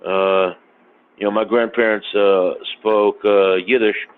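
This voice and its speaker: A man in casual podcast conversation, talking haltingly with many fillers and restarts. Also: fairly low pitch, fairly even intonation. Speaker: podcast guest. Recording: tinny phone-like sound, faint noise.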